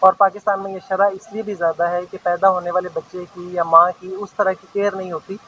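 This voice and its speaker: A man's voice: high voice, level tone, fairly quick, a bit bright, slight background noise. A man, a children's heart specialist, earnestly gives a public health message outdoors. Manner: earnest, long explanatory sentences. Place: outdoor awareness walk. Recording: dull lo-fi news field audio.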